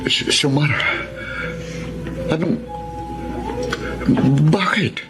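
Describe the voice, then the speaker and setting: A proud, wounded politician in love in a radio melodrama, with a slightly high male voice and a Filipino accent. He is impassioned and theatrical. Loud music swells around his words. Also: very slow. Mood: shocked. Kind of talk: Tagalog radio drama acting.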